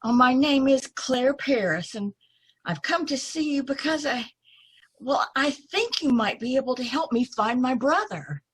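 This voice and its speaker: A woman acting a polite young woman in a radio play, her voice somewhat high with a husky edge. She is earnest and hesitant, as if sorry to be a bother. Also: expressive, measured pace, full character voice, rough recording. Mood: nervous, polite. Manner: hesitant, apologetic. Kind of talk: old time radio drama acting.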